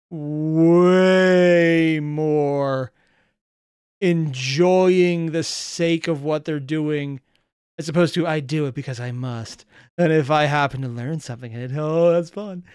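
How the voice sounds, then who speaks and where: A man with a clear, smooth voice giving casual, musing off-the-cuff commentary as a reaction host, close to the mic. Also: a little high, no room sound. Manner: musing, thinking aloud, questioning.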